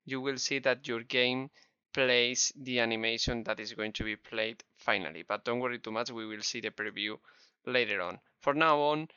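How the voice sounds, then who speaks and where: Bright-voiced Spanish-accented tutorial man. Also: mid-range voice, normal speed, clean tone, no room sound. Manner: friendly, step-by-step, encouraging. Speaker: game development instructor. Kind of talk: screen-recorded tutorial narration. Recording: dull, slightly lo-fi close mic.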